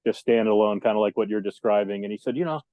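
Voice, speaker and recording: A man in his fifties reminiscing casually as an interview guest, halting and detail-minded, over a dull, lo-fi connection. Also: lowish voice, warm tone, no room sound. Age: 50s. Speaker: interview guest, audio electronics technician.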